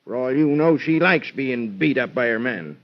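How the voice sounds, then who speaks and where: An actor playing a grumbling, henpecked farmer husband with a rural American accent, folksy and dismissive, in old-time radio drama style. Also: male voice, mid-range voice, lively intonation, moderate pace, lightly acted. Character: grumbling, henpecked farmer husband, stubbornly minding his business. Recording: dull, noisy vintage radio recording.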